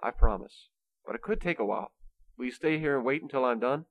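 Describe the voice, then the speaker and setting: A man plays a kindly Saint Nicholas, a patient dreamer in an amateur radio comedy. He reasons earnestly in a mid-pitched, very animated voice, recorded dry. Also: fast, dry recording, natural voice, poor audio. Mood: reassuring, earnest.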